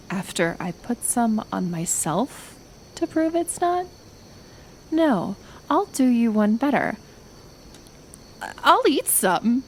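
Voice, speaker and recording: A woman acting a kind but stubborn reclusive healer in an ASMR roleplay, gentle yet firm, unhurried, with sighs and pauses. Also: fairly high pitch, very animated, a little hiss or hum, full character voice. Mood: wry, disgusted.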